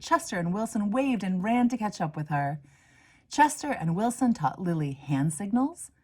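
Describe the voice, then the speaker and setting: A woman reading a children's storybook aloud in an expressive way, moving between hushed asides and emphatic swells. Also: medium pitch. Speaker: reader, minister.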